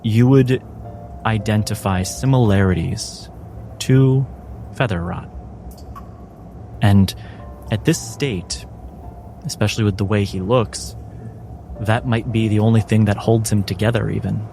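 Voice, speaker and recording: A man narrating a tabletop game as game master, in measured, deliberate phrases with dramatic pauses. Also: lowish voice, vocal fry, dark tone, faint music behind.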